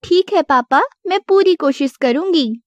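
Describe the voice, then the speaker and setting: A woman's voice: sits high, expressive, measured pace, a little mellow, dry recording. A woman voicing a sweet, obedient young daughter in a cartoon story, earnest and childlike, in slightly muffled sound. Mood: eager, obedient. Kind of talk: animated moral-story dialogue.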